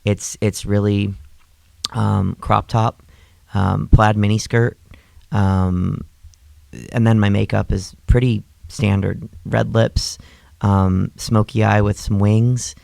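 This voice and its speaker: A man's voice: on the low side, little pitch movement, slow, creaky, darkish tone, no echo. A young adult man co-hosting a podcast, a comedian and drag performer, chatting casually with many fillers and restarts. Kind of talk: casual chat.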